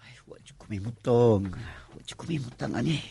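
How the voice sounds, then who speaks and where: A man's voice: medium pitch, brisk, vocal fry, mellow, a little hiss or hum. A man acting a nervous, lustful young security guard in a radio drama, stammering and exclaiming with a Cebuano accent.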